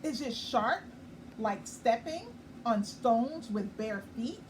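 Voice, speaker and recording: A woman hosting a nightly children's story time, reading a picture book slowly and gently and pausing to explain it. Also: on the high side, lively intonation. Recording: far from the mic with clear background noise.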